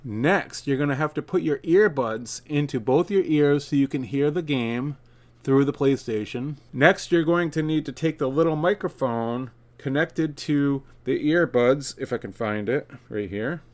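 A man's voice: medium pitch, measured pace. A man in his forties presenting a casual step-by-step tech tutorial, plain-spoken and speaking directly to viewers. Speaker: video creator, tutorial presenter. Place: indoor room, seated at a desk.

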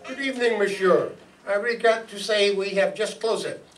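Polite, sinister French restaurant man played by a male actor, put-on French accent, high-pitched, formal. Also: normal speed, a little hiss or hum, lightly acted. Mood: polite, evasive. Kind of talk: old-time radio acting before an audience. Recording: live stage pickup from far off.

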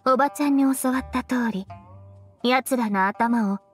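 Actress as literal-minded young woman, high pitch, deadpan, formal, game dialogue, faint music. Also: unhurried, lightly acted. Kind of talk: Japanese video game voice acting.

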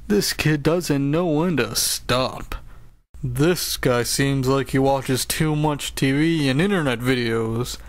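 A man's voice: mid-range voice, dry recording. A man voicing a grumbling, broke male cartoon show announcer who whines, sighs and makes sarcastic complaints. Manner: whiny, complaining and sarcastic, with many sighs and groans. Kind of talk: comedic cartoon voice acting.

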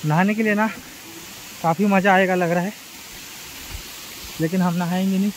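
A man's voice: high voice, fairly even intonation, normal speed, a little hiss or hum, rough recording. Chatty young man vlogging outdoors. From Chhattisgarh. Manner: chatty, addresses viewers as guys. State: tired. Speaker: travel vlogger. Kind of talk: casual vlog narration while riding and hiking. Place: outdoors on rural roads and forest trails.

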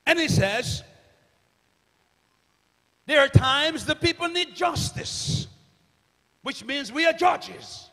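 A middle-aged man preaching a sermon on a church stage with a handheld microphone, swinging from loud shouts to hushed near-whispers. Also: very high voice, expressive, slow pace, long pauses. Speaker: minister. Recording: reverberant church hall.

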